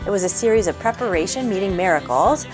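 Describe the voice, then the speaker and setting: A woman's voice: medium pitch, lively intonation, fast talker, low-quality recording. A woman podcast host reading a scripted, upbeat episode introduction. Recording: intro read over music. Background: music.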